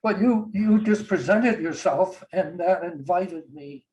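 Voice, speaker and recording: An older man tells family stories in an unhurried, tender way, pausing and chuckling softly as he remembers. His voice sits a little high for a man. He speaks over a video call. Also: low-quality recording. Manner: slow, gentle, warm storytelling with soft chuckles. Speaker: guest sharing memories. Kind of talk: casual reminiscing.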